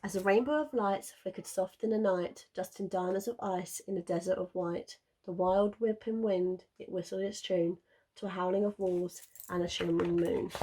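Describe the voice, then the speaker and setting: Woman teacher, bedtime storybook reader, soft husky tone, steady rhythm. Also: mid-range voice, low-quality recording. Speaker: primary school teacher. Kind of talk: bedtime story reading in rhyme.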